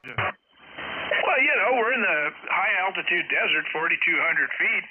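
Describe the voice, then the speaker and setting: A man's voice: sits high, very animated, unhurried. An American man, middle-aged or older, talking in a plain-spoken, colloquial way over a ham radio link. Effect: radio transmission. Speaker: amateur radio operator, caller. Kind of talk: casual ham radio chat. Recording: narrow, noisy, crackly ham radio signal.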